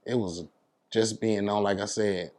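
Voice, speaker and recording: A man's voice: on the low side, fairly even intonation, unhurried, a little mellow. A middle-aged American man chatting casually as an interview guest, telling his story in a laid-back, plain-spoken way.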